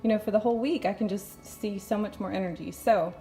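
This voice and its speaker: Chatty Texan woman with a mellow voice. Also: mid-range voice, fairly even intonation, brisk, faint music behind, low-quality recording. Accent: light Texan. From Texas. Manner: chatty and rambling, laughs often. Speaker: home cooking vlogger. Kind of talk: casual cooking demo chat. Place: home kitchen.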